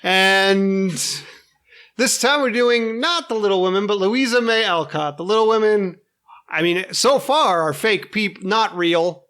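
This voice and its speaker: Bright, higher-pitched man, irreverent podcast host. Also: unhurried, clean tone. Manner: irreverent, sweary, joking. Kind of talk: casual comedic group chat. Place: in-person group recording at a table.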